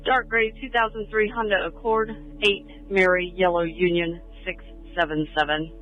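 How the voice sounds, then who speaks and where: Male radio operator, high voice, clipped, procedural, narrow phone-like scanner audio. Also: flat delivery, moderate pace, faint music behind. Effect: police radio. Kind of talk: radio call.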